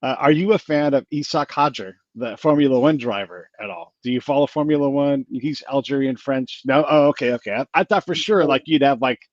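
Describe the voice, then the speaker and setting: Fast-talking host with a dull, dry sound. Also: male voice, mid-range voice, no echo. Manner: quick, chatty, laughs easily. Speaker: podcast host. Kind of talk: casual interview.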